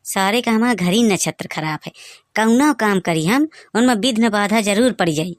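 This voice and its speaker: Man acting a grumbling Bagheli cartoon villager, pitched-up. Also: normal speed, no echo, clean studio sound. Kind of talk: comic cartoon dialogue.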